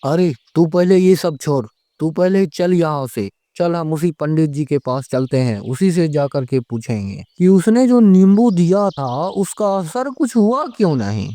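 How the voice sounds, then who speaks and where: A man voicing a high-pitched, fretful village politician. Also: expressive, measured pace, few pauses, warm tone, no room sound. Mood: urgent. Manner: exclaiming, pleading, colloquial. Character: worried village politician protecting his servant, excitable male. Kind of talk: animated horror story dialogue.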